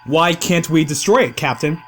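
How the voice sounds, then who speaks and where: A man's voice: fairly high pitch, normal speed, close to an everyday voice. A man playing a dutiful starship helm officer in a sci-fi audio drama. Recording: clearly noisy track with clicks.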